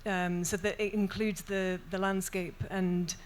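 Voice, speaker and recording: A young Welsh woman poet speaking thoughtfully on a festival panel, with many pauses and restarts. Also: medium pitch, flat delivery. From Wales. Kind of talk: panel discussion and poetry reading.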